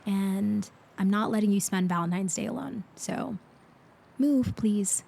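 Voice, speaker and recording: A woman playing a blunt but caring best friend in a comforting roleplay ASMR audio, talking casually with thoughtful pauses. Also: mid-range voice, creaky, dark tone, a little hiss or hum. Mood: insistent, caring. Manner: casual, conversational, hesitant pauses. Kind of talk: intimate roleplay ASMR, casual one-sided conversation.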